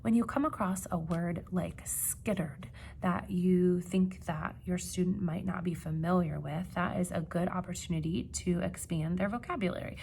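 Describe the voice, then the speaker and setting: A woman teacher giving an expressive storybook read-aloud, with teaching asides and questions for young listeners. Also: on the low side, moderate pace, gravelly, a little hiss or hum.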